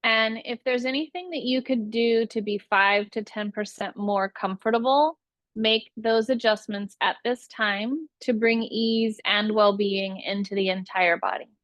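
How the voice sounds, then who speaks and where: A woman teaching a guided yoga nidra meditation, giving soothing, unhurried instructions with long pauses between phrases. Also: on the high side, fairly bright tone, no echo.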